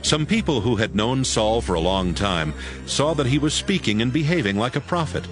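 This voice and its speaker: Male narrator, scripted Bible storytelling, animated intonation, soft music underneath. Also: medium pitch, normal speed. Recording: faint music behind the voice.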